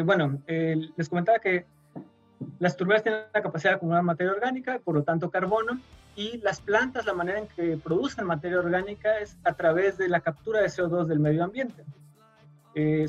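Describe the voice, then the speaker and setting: Male guest, from Mexico, patient explainer, slightly high voice, dull lo-fi sound with faint music. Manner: patient explainer with frequent fillers. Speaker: guest scientist. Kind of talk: radio interview, explaining.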